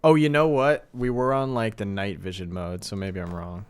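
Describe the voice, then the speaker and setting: A man hosting a livestream, giving casual reaction commentary and thinking out loud in a rambling way. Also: fairly low pitch, fairly even intonation, normal speed, clean tone. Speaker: livestream host reacting to a horror series.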